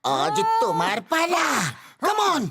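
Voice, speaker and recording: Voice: very high voice, huge pitch swings, takes it slowly, full character voice. An actor voicing a cocky cartoon boxer bully with a brash, taunting delivery in a dub. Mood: mocking.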